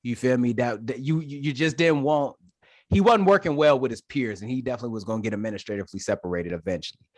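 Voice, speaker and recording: Young man from Detroit, podcast guest, slangy and animated, very dry close sound. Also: medium pitch, quick pace, no room sound. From Detroit, Michigan, USA. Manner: animated, slangy storytelling with swearing. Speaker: podcast guest, Marine staff sergeant. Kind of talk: casual podcast chat.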